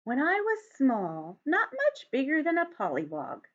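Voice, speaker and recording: A friendly, patient frog from a children's storybook , read by a woman, with a high voice. He tells his tale in a measured, expressive way. The sound is slightly dull and lo-fi. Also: exaggerated voice. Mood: wistful, storytelling. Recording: dull, lo-fi home recording.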